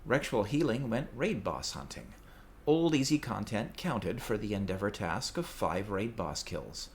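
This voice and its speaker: A man's voice: on the low side, big pitch swings, unhurried, slight background noise. A man reading a scripted, playful report as a podcast community correspondent. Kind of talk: scripted segment narration.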